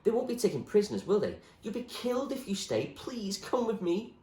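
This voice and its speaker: Man voicing a desperate high-pitched storybook girl. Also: sing-song, a little fast, mellow, reverberant. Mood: urgent pleading. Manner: urgent, exasperated pleading. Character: desperate, frustrated girl urging a friend to flee. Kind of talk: acted storybook dialogue.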